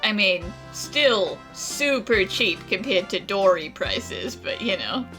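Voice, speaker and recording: A woman's voice: mid-range voice, expressive, slow. A woman giving casual, playful, wisecracking commentary as she plays a video game. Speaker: gaming streamer. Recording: game music behind the voice.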